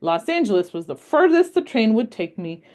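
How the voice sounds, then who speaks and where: A woman voicing a wise, patient old grandmother in a read-aloud story, gently telling her granddaughter about her life. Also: fairly high pitch, sing-song, moderate pace, voice pushed up. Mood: fond.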